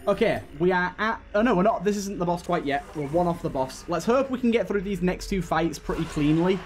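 A man's voice: sits high, animated, fairly quick, a little hiss or hum. A man giving casual gameplay commentary, thinking aloud and talking to the game's characters. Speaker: gaming video host.

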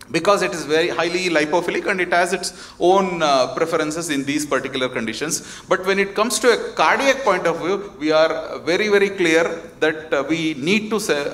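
A man's voice: fairly high pitch, a bit bright. A man speaking as a cardiologist on a medical panel, confident and polite as he explains, on a conference stage with a handheld mic. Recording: echoey conference hall.

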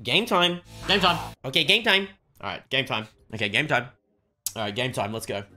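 An excitable video game streamer chatting exclamatorily on a livestream. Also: medium pitch, a little slow, a little hiss or hum.

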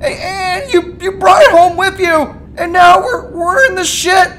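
An actor voicing an anxious, indignant teenage grandson in a cartoon audio drama, whiny, stammering and frantic. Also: male voice, very high-pitched, a little slow, fairly bright tone, a little hiss or hum, low-quality recording. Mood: indignant, tearful. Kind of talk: fan-made cartoon audio drama acting.

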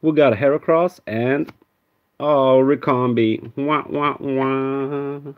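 A man's voice: mid-range voice, steady tone, slow, phone-quality sound. A man from Sweden with a Swedish accent tinged with American, casually chatting on a live stream, greeting viewers and naming cards as he opens them. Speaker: card-opening streamer. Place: indoor room with card display.

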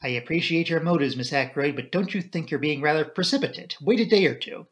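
A man voicing a lively, tactful young male secretary in an audiobook, polite and well-spoken. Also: on the high side, fast talker. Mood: polite concern.

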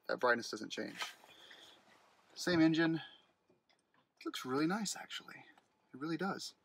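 A man's voice: mid-range voice, fairly bright tone, a little hiss or hum. Man chatting through a car walkaround. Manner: chatty, thinking aloud with fillers and restarts. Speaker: car vlogger. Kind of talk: casual walkaround commentary. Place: outdoors at a car dealership lot, then inside a car. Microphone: handheld phone camera.

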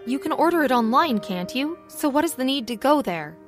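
A woman's voice: high-pitched, expressive, brisk, voice pushed up. A woman voicing a frightened young woman who pleads anxiously. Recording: faint music behind.

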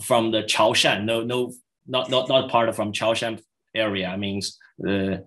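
A middle-aged man, a native Chinese speaker, answering questions after a lecture in halting English with many restarts, over a video call. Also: lowish voice, level tone, a bit bright. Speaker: guest lecturer. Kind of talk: academic Q&A answers.

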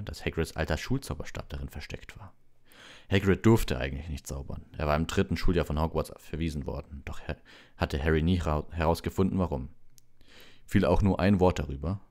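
Very deep-voiced male bedtime narrator. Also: a little mellow. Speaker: audiobook reader, livestreamer. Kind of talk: relaxed bedtime storybook narration.

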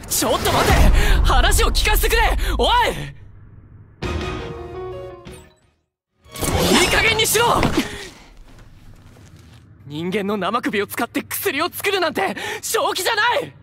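An earnest young male soldier in an anime game. His delivery is idealistic and emphatic, with big swings in pitch. Music plays clearly behind him. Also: huge pitch swings, moderate pace, sharp tone, exaggerated voice. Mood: angry, shocked. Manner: emphatic, questioning, masculine casual speech. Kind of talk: Japanese anime game voice acting.

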